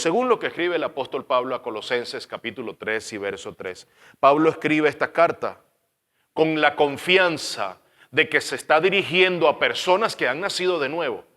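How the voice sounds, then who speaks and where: A man from Venezuela with a Caracas accent preaching a sermon as pastor, earnest, repeating phrases and letting line ends fall quiet. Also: fairly high pitch, big-room sound.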